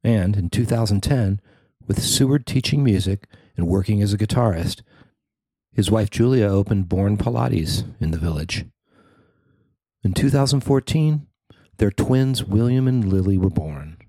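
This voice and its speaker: A man narrating a newspaper feature he wrote, in a measured, even reading. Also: on the low side, dry recording. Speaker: newspaper columnist reading his story. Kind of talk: scripted narration.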